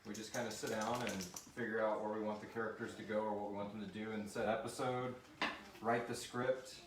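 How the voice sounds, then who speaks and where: A man's voice: lowish voice, steady tone, brisk, a little hiss or hum. A man hosting a live question-and-answer session in an informal, rambling, lightly sweary way, easily amused. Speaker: host of a live question-and-answer special for an audio drama. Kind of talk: casual chat, reading out listener questions. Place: live stream with a group of castmates in the room.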